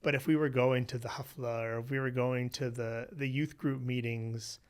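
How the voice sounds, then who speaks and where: A man from Western Pennsylvania, a researcher being interviewed, telling his family story in a relaxed way with many "you know"s. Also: medium pitch, normal speed, clean tone. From Western Pennsylvania, USA. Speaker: guest, researcher. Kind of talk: conversational interview.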